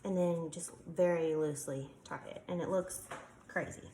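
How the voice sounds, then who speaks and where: Congested woman with a lowish voice, talking through a hands-on demo with ums; echoey room, faint noise. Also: fairly even intonation, normal speed, warm tone. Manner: chatty, explains while working with hands, frequent ums. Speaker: craft tutorial maker. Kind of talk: casual step-by-step demonstration.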